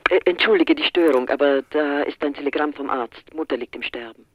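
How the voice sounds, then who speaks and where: An actress playing a prim, practical wife in a radio play, brisk and matter-of-fact and fussy over details. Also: female voice, low-pitched, no echo, highs cut off. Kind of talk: German radio drama acting.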